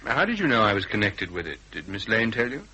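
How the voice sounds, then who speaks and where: A man playing a shrewd British gentleman detective in a radio drama, questioning people in a measured, clipped, polite and persistent way. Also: on the low side, big pitch swings, slight background noise, rough recording. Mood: cool, probing.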